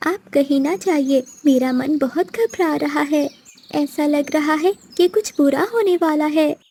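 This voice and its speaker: A woman's voice: high-pitched, a little slow, darkish tone, a little hiss or hum. A woman voicing an anxious, devoted young mother sparrow in a children's cartoon, earnest and plaintive.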